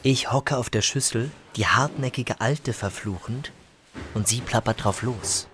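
A man's voice: medium pitch, a little slow, bright, forceful tone, a little hiss or hum. A man narrating a scripted first-person literary story as a storyteller.

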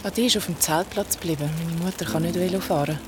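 An actress playing a young female ghost in a radio play, talking in casual slang and halting, pensive recollection. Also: on the low side, background noise, lightly acted. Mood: wistful recollection. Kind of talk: radio play dialogue and storytelling.

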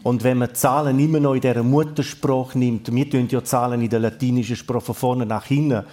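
A man's voice: mid-range voice, fairly even intonation, brisk, mellow. Man in his sixties, Romansh-tinged Swiss German. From Graubünden. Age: 60s. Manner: thoughtful, hesitant with fillers. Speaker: guest.

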